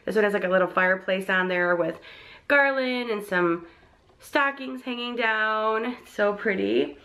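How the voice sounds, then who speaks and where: A woman's voice: medium pitch, unhurried, pushed and bright. A young woman, a vlogger getting over laryngitis with a slightly crackly voice, chatting in a gushing, casual way about a shopping haul. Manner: chatty and gushing, with frequent likes and ums. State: recovering from laryngitis, a bit crackly.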